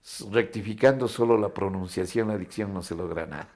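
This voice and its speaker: A man speaking reflectively in an interview as a drama director, deliberate and halting. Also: fairly low pitch, vocal fry, a little mellow.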